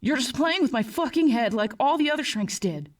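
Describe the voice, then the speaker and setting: A woman's voice: sits high, expressive, brisk. Woman acting a tormented young man, distant-sounding. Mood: angry, agitated. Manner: tight, bitter, swearing, struggling for composure. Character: agitated young male psychiatric patient, tormented and afraid. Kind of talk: acted horror story dialogue.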